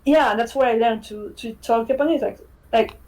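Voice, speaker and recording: Spanish-native woman chatting on a podcast, kinda high voice, lots of "like" and "right?", swears a bunch. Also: brisk, rough recording. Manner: animated, fillers like "right?", swears freely. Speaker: game developer guest. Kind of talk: casual podcast chat.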